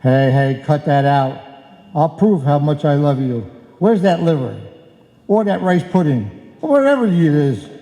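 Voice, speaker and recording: Man acting a muffled, slow-talking blustering radio husband. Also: a little high, expressive, dark tone. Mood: gruff affection. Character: blustering, sentimental husband in a bickering radio comedy couple. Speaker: amateur old-time radio player. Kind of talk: live old-time radio comedy acting. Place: indoor hall stage, live performance. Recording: echoey hall, live stage performance.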